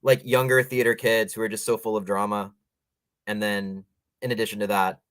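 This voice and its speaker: A man's voice: fairly low pitch, level tone, normal speed, fairly bright tone, clean tone, no room sound, clean studio sound. A man hosting a podcast, chatting casually with guests in a rambling, conversational way. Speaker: podcast host interviewer. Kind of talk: casual interview chat.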